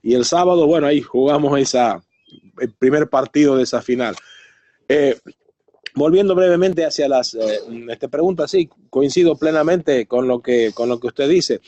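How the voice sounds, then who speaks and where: A man's voice: mid-range voice, normal speed, muffled recording. A man from northern Argentina with an Argentine Spanish accent, lecturing and chatting at an easy pace with many fillers. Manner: relaxed, chatty, fillers like "este". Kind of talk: online lecture Q&A.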